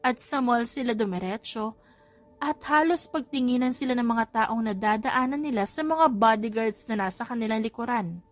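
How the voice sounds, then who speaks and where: A Filipino woman narrates a romance audiobook in a fairly high voice. She reads from a script as a storyteller, with music playing underneath. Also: normal speed.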